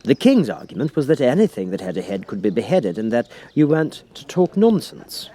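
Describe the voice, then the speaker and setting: A man's voice: mid-range voice, very animated, a little fast, mellow. A man reading a children's story as a scripted audiobook narration.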